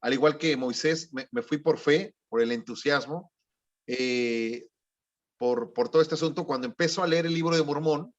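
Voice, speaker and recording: Man, raised pitch, candid interview guest; muffled lo-fi sound. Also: normal speed. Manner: frank, conversational, tags with "¿no?". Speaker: guest, former missionary. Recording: dull lo-fi audio.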